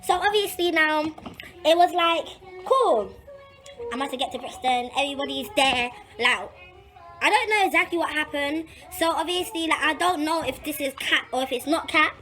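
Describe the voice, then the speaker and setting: A young woman with a London accent chats casually through a personal story. Her voice is very high and bright, and her delivery is animated and slangy, with repeated phrases for emphasis. Some music can be heard with her. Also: moderate pace. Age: young adult. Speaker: vlogger telling a personal story.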